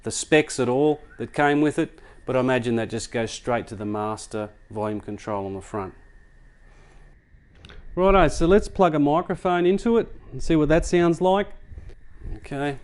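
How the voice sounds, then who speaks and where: A man's voice: fairly high pitch, moderate pace, darkish tone, smooth. A man giving a casual product demonstration, explaining things step by step in a conversational way. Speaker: product reviewer.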